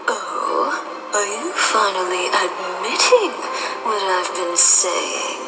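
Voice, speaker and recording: A menacing nightmare spirit of an audio drama , acted by a woman, taunts with smug sweetness. She swings her pitch around and lingers on her words. Music plays clearly behind her. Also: animated, echoey room, far from the mic, low-quality recording. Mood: smug taunting. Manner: drawn-out, mocking, sweetly threatening.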